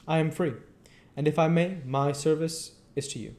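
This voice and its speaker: A man voicing an elderly foreign professor, speaking in earnest, halting phrases at a raised pitch. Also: darkish tone, lightly acted.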